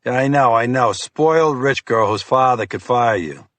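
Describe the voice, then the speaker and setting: A man's voice: mid-range voice, normal speed, fairly bright tone, no room sound, voice pushed up, lightly acted. A man voicing a blunt, scolding, tubby middle-aged male FBI agent with a Boston accent in an audiobook. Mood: gruff irritated. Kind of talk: audiobook character voice.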